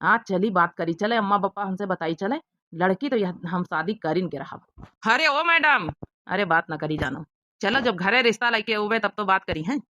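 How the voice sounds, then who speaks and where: A woman's voice: mid-range voice, expressive, moderate pace, sharp tone, dry recording, clean studio sound. A woman voicing an eager, lovestruck young village bachelor with an Awadhi accent in a comedy cartoon. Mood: smitten, gushing.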